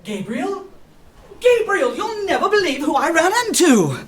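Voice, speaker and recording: A woman playing a cheerful, excitable detective comrade in a radio drama, exclaiming in a bubbly way. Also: very high voice, a little slow, heavily acted. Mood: excited, jovial.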